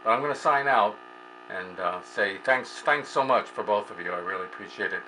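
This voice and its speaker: Guy with a lowish voice chatting on ham radio, kinda hesitant, hum and noise behind him. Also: brisk, bright, forceful tone, poor audio. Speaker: amateur radio operator. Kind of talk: casual two-way ham radio chat, testing a rig. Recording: clear background noise and hum.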